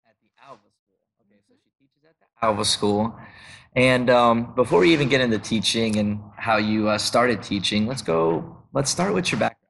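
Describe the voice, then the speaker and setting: A man's voice: medium pitch, expressive, normal speed, gravelly, pauses often, fairly bright tone, a little hiss or hum, low-quality recording. A man hosting an interview, asking rambling questions with enthusiasm and frequent laughs. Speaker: podcast host, interviewer. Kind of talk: casual interview.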